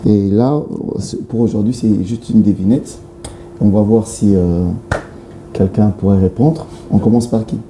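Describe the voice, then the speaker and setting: Male TV host, mellow tone, playful riddle-teller, roomy studio sound with faint hiss. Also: on the low side. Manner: playful, laughs often, repeats questions slowly. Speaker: TV show host. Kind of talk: casual talk-show chat with riddles. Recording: echoey studio, faint background noise.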